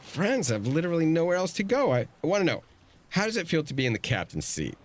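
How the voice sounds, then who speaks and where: Audio drama uncle, middle-aged ex-navy, male actor. Earnest, fretful, lively swings in pitch, some noise behind. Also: quick pace. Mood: worried, pressing.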